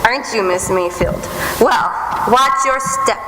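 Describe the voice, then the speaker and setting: A woman's voice: on the high side, unhurried, natural voice. A woman acting a brash, jealous, sarcastic and vulgar fiancée in old-time radio melodrama. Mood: sneering, threatening. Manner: sarcastic, combative. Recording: echoey hall, loud background noise.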